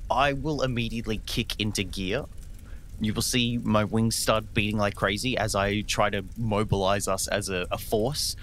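A man's voice: fairly low pitch, fairly even intonation, moderate pace, fairly bright tone, slight background noise. A man playing a tabletop game, casually describing what his character does.

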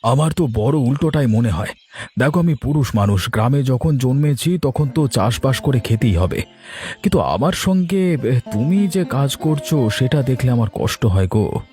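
A man's voice: medium pitch, lively intonation, normal speed, mellow, soft background music, lightly acted. A man playing a long-suffering, poor village farmer in a fairy-tale cartoon, earnest in delivery. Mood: gentle, concerned.

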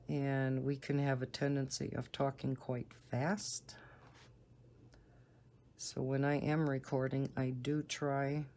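A woman with a Northern American accent and a low, soft voice, chatting casually and unhurriedly. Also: little pitch movement, a little hiss or hum. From northern United States. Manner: unhurried, deliberately slow talking. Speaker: coloring video host. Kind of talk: casual rambling chat while coloring.

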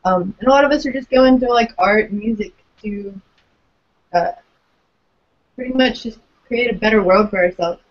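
Young woman podcast host, laid back, low-fi webcam audio. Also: normal speed, long pauses, muffled recording. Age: young adult. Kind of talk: casual interview over video call. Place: small room indoors. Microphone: webcam on video call.

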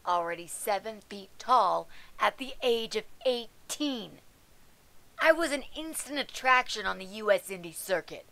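A woman's voice: mid-range voice, vocal fry, a bit bright, low-quality recording. A woman voicing a brash, crude female pro wrestler, who tells her story with wry, irreverent asides. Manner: drawling, sarcastic asides. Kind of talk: scripted web-show monologue.

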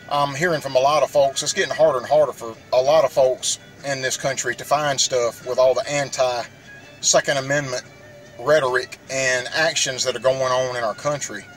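A man's voice: mid-range voice, fairly bright tone. A middle-aged man giving casual how-to vlog talk, folksy and speaking directly to viewers. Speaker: outdoors and shooting vlogger.

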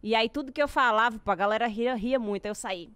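A woman in her twenties with a drawling way of talking, telling stories as a guest in a small podcast studio on a boom mic, lively, slangy and animated. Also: fast talker, clear, resonant tone, no room sound. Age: 20s. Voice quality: drawling speech. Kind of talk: casual storytelling chat. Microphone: studio boom mic with headphones.

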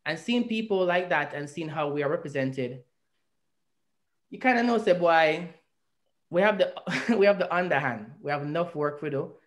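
A man's voice: fairly high pitch, lively intonation, normal speed. A man with a Jamaican accent telling his story at length as an interview guest, earnest and reflective, on a video call from a room at home. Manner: earnest, reflective, speaks at length with rising emphasis. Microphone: video call webcam.

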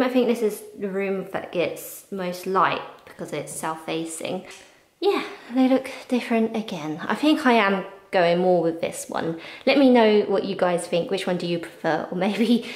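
A British woman in her thirties chatting casually and informally to camera as a home vlogger, rambling and correcting herself as she goes. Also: mid-range voice. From United Kingdom. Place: bare rooms of a house under renovation, indoors. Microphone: handheld camera at arm's length. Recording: echoey empty rooms.

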